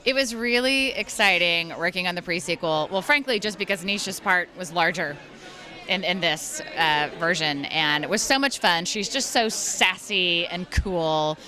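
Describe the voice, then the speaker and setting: A woman voice actress and singer chatting in a convention interview, bubbly and quick to laugh. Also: lowish voice, bright, forceful tone.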